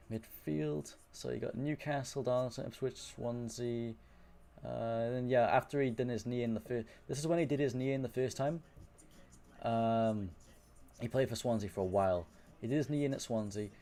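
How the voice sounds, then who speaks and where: A young man from Wales with a Welsh accent softened by English, chatting casually on a live stream, rambling, swearing and answering chat. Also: medium pitch, normal speed, gravelly. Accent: Welsh with English influence.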